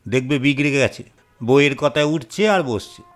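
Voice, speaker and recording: A man performs a hard-hearted, middle-aged master of a village house in a moral cartoon. He speaks curtly and dismissively at an ordinary male pitch, with faint music behind him. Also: normal speed, lightly acted. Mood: argumentative. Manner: curt, scolding, dismissive. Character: stern, callous, grudging middle-aged village householder and husband. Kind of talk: Bengali moral-story cartoon dialogue.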